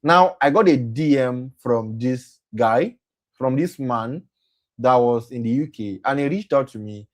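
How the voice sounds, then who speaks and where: A young man leads a motivational talk for young people at a mid-range pitch. He circles back over his points, corrects himself mid-sentence, and keeps asking his listeners "right?". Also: a little slow. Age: young adult. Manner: repetitive, self-correcting, often asks "right?". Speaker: program host and speaker.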